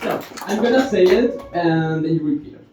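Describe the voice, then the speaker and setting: A man with a Mexican accent, a native Spanish speaker, performing amateur stand-up with audience interaction and a halting, self-interrupting delivery. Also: high-pitched, animated. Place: live stage in a roomy venue. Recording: echoey noisy room, distant muffled pickup.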